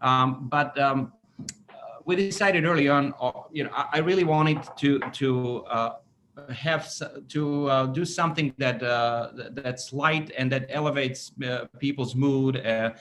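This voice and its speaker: A man speaking as an orchestra conductor in an interview, halting and often stammering as he searches for words. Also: medium pitch, fairly bright tone, slight background noise. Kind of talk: interview talk.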